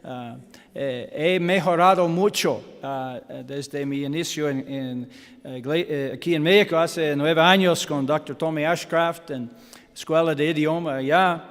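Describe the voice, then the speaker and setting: A man with an American accent, a native English speaker, preaching in a church in halting Spanish with English fillers and self-deprecating humor. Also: on the high side, big-room sound. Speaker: guest pastor. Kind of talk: sermon with Bible reading. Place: church sanctuary.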